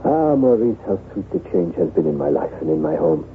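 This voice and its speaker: An actor playing a besotted, guilt-haunted lord of a chateau in an effusive, theatrical radio drama style. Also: male voice, deep voice, very animated, brisk. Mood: fond. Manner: theatrical, effusive, gushing over his bride. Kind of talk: 1970s radio drama acting. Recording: tinny, band-limited old radio recording with hiss.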